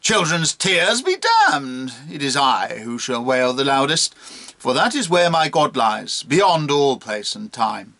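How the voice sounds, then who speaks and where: A blustering, drunken old bishop, acted out by a man, grand and threatening. The voice is forceful and swings widely in pitch, declaiming like a preacher in a dark comic poem. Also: big pitch swings, slow pace, full character voice. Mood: blustering, defiant. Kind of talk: dramatic poetry reading.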